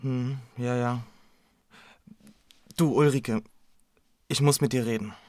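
An actor playing a serious, hesitant husband in an audio drama, speaking earnestly. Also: male voice, medium pitch, steady tone, slow, a little mellow, no room sound, clean studio sound, lightly acted.

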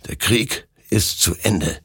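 A man's voice: lowish voice, gravelly, fairly bright tone, slightly rough, no room sound, clean studio sound, pitched above the performer's own voice, full character voice. A man doing a hissing spectral death rider. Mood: ominous. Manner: slow, hissing, solemn. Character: ghostly male rider of death, calm and ominous.

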